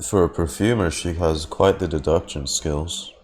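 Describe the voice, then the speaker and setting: A man's voice: deep voice, moderate pace, a little mellow, slight background noise. A man streaming a video game, giving casual, slangy, wry reactions off the cuff.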